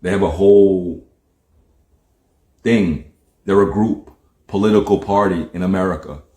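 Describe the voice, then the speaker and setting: A man's voice: low-pitched, a little slow, mellow. A man from Jamaica, delivering a heated, profane monologue on a livestream and firing off rhetorical questions. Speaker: livestream host. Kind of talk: ranting monologue. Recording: roomy echo.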